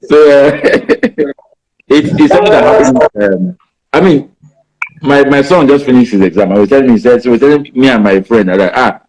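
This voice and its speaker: Nigerian writer in his 50s, Igbo first language, easygoing talker who loops back and restarts, mid-pitched voice. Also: normal speed, a little mellow, poor audio. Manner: rambling, many "you know" fillers and restarts. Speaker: writer being interviewed. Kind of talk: casual interview answers.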